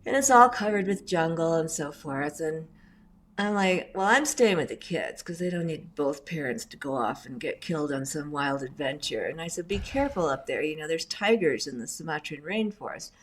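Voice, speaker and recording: A middle-aged woman, raised in Indonesia, speaks in a low voice. She tells her stories in a chatty, animated way and fills them with asides as an interview guest. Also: normal speed, slight background noise. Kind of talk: casual storytelling interview.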